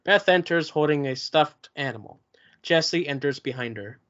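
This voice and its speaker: A man's voice: fairly high pitch, moderate pace, fairly bright tone. A man reading stage directions as scripted narration at a table read. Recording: dull lo-fi sound.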